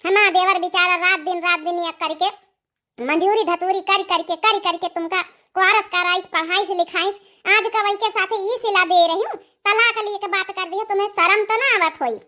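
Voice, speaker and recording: A woman's voice: very high-pitched, fairly even intonation, moderate pace, bright, forceful tone. A woman voicing an outspoken village sister-in-law in a comic cartoon, earnest and repetitive, with an Awadhi accent and a dramatic manner. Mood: reproachful.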